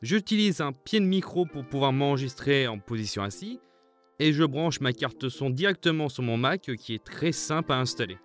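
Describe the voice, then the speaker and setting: A man's voice: mid-range voice, lively intonation, normal speed, fairly bright tone, faint music behind. A man who voices a part in a fan dub, speaking plainly and a little sheepishly. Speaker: fandub voice actor. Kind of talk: casual recorded answer.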